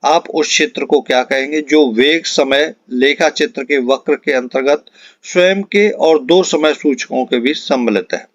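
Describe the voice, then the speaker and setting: Higher-voiced male teacher, dull recording. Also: unhurried, no room sound. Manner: instructional, repeats questions and answers for emphasis. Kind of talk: exam-prep lesson reading out multiple-choice questions and answers. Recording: dull, lo-fi close dry recording.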